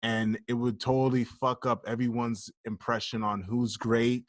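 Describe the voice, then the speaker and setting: A man's voice: medium pitch, level tone, normal speed, dry recording. Blunt, joking man on a dry mic. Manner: blunt, joking, swears freely. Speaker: podcast co-host. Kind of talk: casual podcast chat.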